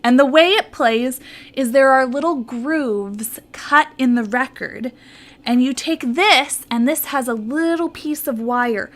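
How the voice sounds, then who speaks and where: A woman talks to children in a fairly high voice, taking her time. She explains things simply, step by step, in show-and-tell style. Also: a little slow. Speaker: children's story presenter at church.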